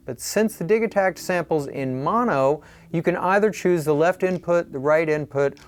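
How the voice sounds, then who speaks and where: A man presenting a scripted product review in a clear, upbeat explanatory way. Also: on the high side.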